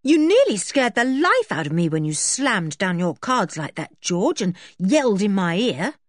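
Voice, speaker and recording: Woman doing an excitable, animated schoolboy. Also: medium pitch, normal speed, no room sound, studio quality. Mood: excited, rattled. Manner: exclaiming, slangy. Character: excitable, breezy schoolboy in a children's story.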